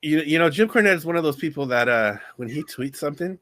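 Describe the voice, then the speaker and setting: A man's voice: on the high side, expressive, fast, sharp tone, dry recording, clean studio sound. A man co-hosting a podcast, talking casually with stammers and wry remarks. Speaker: podcast co-host.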